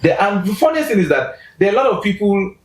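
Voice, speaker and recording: A man talking as a guest in a casual interview, animated, pushing his voice up for emphasis and restarting sentences. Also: sits high, fast talker. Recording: roomy echo.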